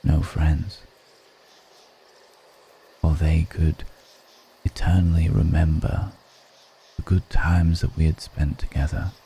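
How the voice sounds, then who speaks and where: A man playing a brooding, melancholic undead vampire in an intimate ASMR roleplay, speaking in a measured, poetic murmur with pauses between phrases. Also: little pitch movement, slight background noise, exaggerated voice, rough recording. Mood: solemn, melancholic. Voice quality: very deep, dark, creaky murmur.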